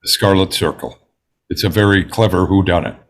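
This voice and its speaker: A man in a drama table read playing a composed, precise, self-assured juror with a deliberate delivery. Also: low voice. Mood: hesitant recollection.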